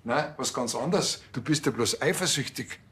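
Male actor as naive Bavarian cop, mid-pitched voice, colloquial, matter-of-fact, TV comedy. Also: animated, normal speed, fairly bright tone, slight background noise, close to an everyday voice. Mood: defensive. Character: earnest, naive male small-town policeman. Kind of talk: TV crime comedy acting.

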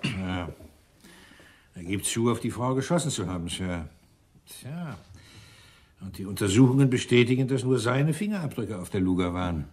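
An actor playing a grumpy, gloomy middle-aged police inspector in a radio crime drama, grumbling and blustering. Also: male voice, fairly low pitch, animated, normal speed, pauses often, a little mellow, husky. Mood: dry, sardonic. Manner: grumbling, blustering, self-deprecating.

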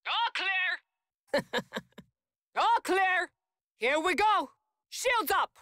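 A tough, confident soldier heroine from a video game, calls out short battle orders. Her voice is high and bright, swinging very widely in pitch. She sounds forceful and protective. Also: sing-song, very slow, no echo, clean studio sound, exaggerated voice. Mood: alert, shouting. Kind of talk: video game combat voice lines.

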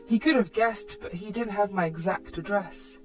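A woman's voice: mid-range voice, normal speed, faint music behind, low-quality recording. A woman reading a scripted first-person story as narrator.

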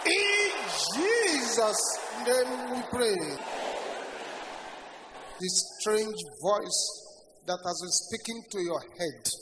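An older man as a pastor, leading a congregation in loud prayer with shouted commands and bursts of tongues. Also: very high-pitched, lively intonation, drawn-out words, long pauses. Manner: speaks in tongues between prayers. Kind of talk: fiery prayer session sermon. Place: large church hall. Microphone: stand microphone at pulpit. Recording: echoey hall with noisy congregation.

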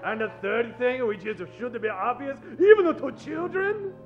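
Male actor as sly old-radio detective general: high-pitched, very animated, deliberate stilted phrasing, faint music behind. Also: muffled recording. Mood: pompous, inquisitive. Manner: formal, deliberate, stilted broken English. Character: wise, pompous Chinese detective general, courtly and sly. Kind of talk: old-time radio comedy acting before an audience. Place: theatre stage. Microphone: vintage-style stand microphone.

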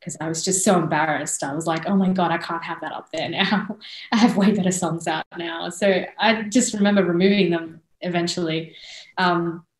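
Australian woman, lower voice, roomy echo. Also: normal speed. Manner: animated, frequent laughs and restarts. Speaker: guest singer-songwriter. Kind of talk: casual interview chat. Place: small room indoors. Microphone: headset on video call.

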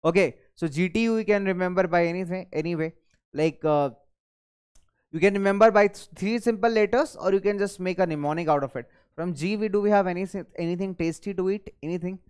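Young male teacher, native hindi speaker, high and smooth voice, energetic studio lecture. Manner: energetic, gestures at screen, thinks aloud. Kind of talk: recorded online class lecture. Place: studio in front of a large screen.

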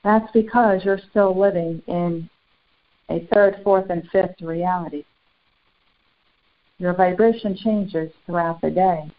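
A woman leading a guided spiritual meditation in solemn, formal, deliberate phrases with long pauses. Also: on the low side, steady tone. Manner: solemn, formal, ceremonial wording. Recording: muffled, phone-like narrow band.

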